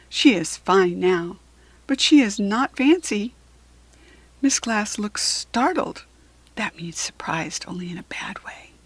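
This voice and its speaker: An American woman reads aloud to children in a medium-pitched voice. Her pace is slow and deliberate, with expressive phrasing made easy to follow. The sound is dry, with little room. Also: no room sound. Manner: deliberate, expressive, clearly phrased for young learners. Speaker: children's book reader. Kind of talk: read-aloud storytelling for children.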